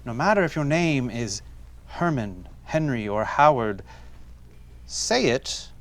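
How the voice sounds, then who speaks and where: Sardonic, mid-pitched stage accuser. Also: male voice, animated, slow. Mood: scornful. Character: stern, sardonic male accuser taunting a condemned killer. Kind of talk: theatrical stage acting. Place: theater stage before an audience.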